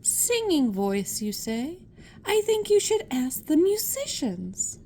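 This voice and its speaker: A woman voicing a busy, helpful little girl in a storybook, polite and brisk. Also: high-pitched, big pitch swings, dark tone, a little hiss or hum, pitched above the performer's own voice, full character voice.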